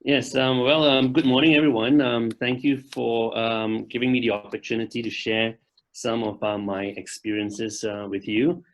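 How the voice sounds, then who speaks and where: A middle-aged man from Malaysia giving an online training talk as a guest speaker, pausing often with "um" and tagging lines with "right?". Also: mid-range voice, level tone, low-quality recording. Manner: halting, many "um"s and tag "right?". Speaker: guest speaker, bank executive. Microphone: video call.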